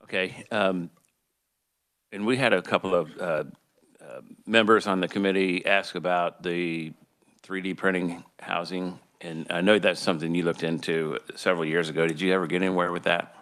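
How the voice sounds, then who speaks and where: Deep-voiced male committee chair. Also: fairly even intonation, fairly bright tone, dry recording. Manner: slow, easygoing questioning. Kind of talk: chairing a hearing. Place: legislative committee room.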